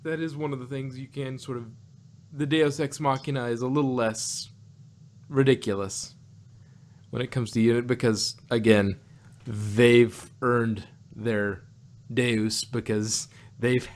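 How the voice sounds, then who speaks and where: A man's voice: mid-range voice, unhurried. A man co-hosting an audio drama review podcast, talking in a rambling way with many restarts and fillers. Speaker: podcast co-host. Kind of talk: casual chat.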